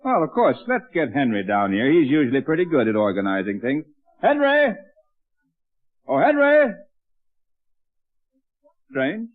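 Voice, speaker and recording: A man's voice: fairly high pitch, wildly swooping pitch, a little slow, pauses often, phone-quality sound, full character voice. An actor playing a genial, reassuring middle-aged father in an old-time radio sitcom. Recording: thin, band-limited vintage radio recording.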